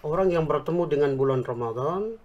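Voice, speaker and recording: A man explaining religious teaching on a talk show, speaking Indonesian with a Javanese accent picked up in Yogyakarta, quoting Arabic scripture in a measured way. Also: fairly high pitch, animated, a little mellow, muffled recording. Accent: Javanese-tinged Indonesian picked up in Yogyakarta. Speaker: Islamic preacher, guest.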